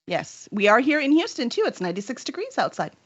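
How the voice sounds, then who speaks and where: A woman's voice: on the high side, sing-song, quick pace, a bit bright, breathy edge, no echo, highs cut off. A woman hosting a casual interview as a book publisher, speaking in an effusive, chatty manner. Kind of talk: casual interview, cover reveal.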